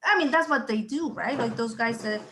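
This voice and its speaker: A woman's voice: on the high side, a little fast, a bit bright, slight background noise. Woman thinking aloud on a call. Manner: thinks aloud with many restarts and asides. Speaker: client at a school. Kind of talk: video call meeting. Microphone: video call.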